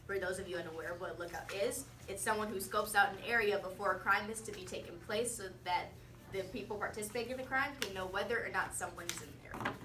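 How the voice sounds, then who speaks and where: A woman's voice: mid-range voice, fast. A teenage girl giving a prepared persuasive student speech, repeating phrases for rhetorical emphasis. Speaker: student presenting a mock defense speech. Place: indoor classroom. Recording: roomy, distant mic, faint noise.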